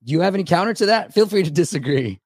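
A man hosting a podcast with a raspy voice, talking in an upbeat, chatty way with quick asides. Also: sits high, expressive, dry recording, clean studio sound. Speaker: podcast host. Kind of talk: casual interview.

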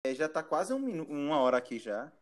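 A man's voice: a little high, very animated, quick pace. A man hosting a casual podcast chat, laughing often and trailing off mid-sentence. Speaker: podcast host.

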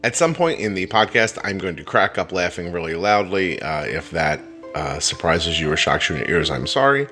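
A man in his forties hosts a podcast with a bright, lively voice that swoops up and down, playful and quick to poke fun at himself. His speech sits a little low, and he often stumbles over his words. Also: husky, soft background music. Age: 40s. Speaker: podcast host. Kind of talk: podcast intro and interview.

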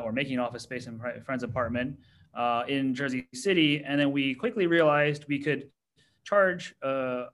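An American man in his thirties, a startup CEO answering interview questions in a relaxed way with many fillers. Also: medium pitch. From United States. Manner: relaxed, many ums and you-knows.

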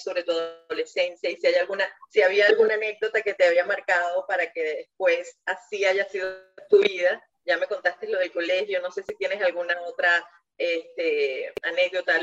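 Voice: mid-range voice, fairly bright tone. Interview host, conversational, probing follow-ups that get rephrased midstream; muffled lo-fi recording. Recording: dull lo-fi sound.

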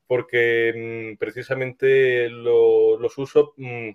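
A man's voice: mid-range voice, little pitch movement, takes it slowly, smooth. A man giving casual, thoughtful and hesitant interview answers as a screenwriter. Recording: dull, lo-fi sound.

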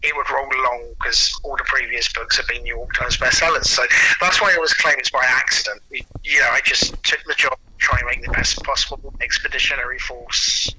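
A man being interviewed, chatting casually through anecdotes in a halting way, often repeating words as he starts a sentence. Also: mid-range voice, steady tone, bright, forceful tone, slight background noise, poor audio. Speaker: interview guest, audio producer and writer.

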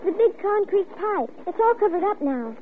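Tinny noisy old radio, a very high-voiced anxious little girl, pleading and urgent. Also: very animated, moderate pace. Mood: insistent. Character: anxious, insistent young girl pleading with her father. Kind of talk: 1940s radio acting.

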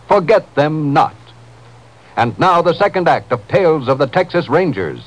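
Male vintage radio announcer for charity appeal: fairly high voice, very animated delivery, earnest and emphatic. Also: moderate pace, highs cut off. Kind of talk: scripted 1950s radio appeal.

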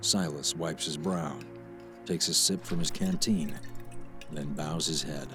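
A man's voice: extremely low pitch, steady tone, measured pace. A man narrating screenplay action for an audio drama in a scripted read. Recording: music behind the voice.

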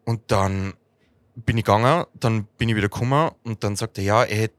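A man's voice: on the low side, brisk, no echo. A man co-hosting a casual podcast, telling anecdotes in a teasing, pedantic way. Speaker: podcast co-host. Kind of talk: casual chat, storytelling.

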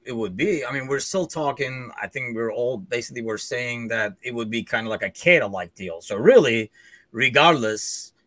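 A man hosting a casual sports chat who thinks out loud and keeps handing questions to his co-hosts. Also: medium pitch, animated, normal speed, no echo. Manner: inquisitive, loose, thinks aloud with fillers. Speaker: podcast host.

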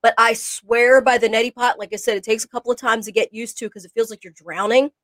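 A woman's voice: fairly high pitch, fairly even intonation, fast talker, fairly bright tone, no room sound. A woman co-hosting a casual podcast chat, rambling and often groping for words. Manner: rambling, hunts for words, many fillers. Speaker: podcast co-host.

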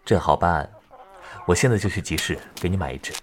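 A man playing a gentle, caring young village teacher of ancient China in a period romance audio drama, tender, patient and unhurried. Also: sits low, slight background noise, close to an everyday voice. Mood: fond.

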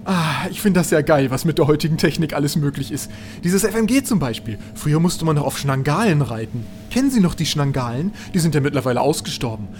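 Comic fantasy radio play: naive chatterbox professor, male actor, whiny and rather high, very quick delivery. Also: animated, darkish tone, soft background music, lightly acted. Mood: chatty. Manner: whiny, childish, babbling. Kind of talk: comedic fantasy audio drama acting.

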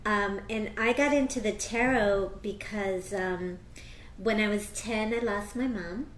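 Animated woman in an echoey room. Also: medium pitch. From United States. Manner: animated, quick bursts of excitement. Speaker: astrologer and tarot reader. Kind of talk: casual interview chat.

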